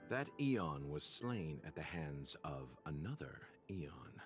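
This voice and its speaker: An actor voicing a composed, mysterious male merchant in a video game, talking smoothly with soft amused laughs. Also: extremely low pitch, sing-song, slow pace, full character voice. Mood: knowing. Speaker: game character. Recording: game audio with faint music behind.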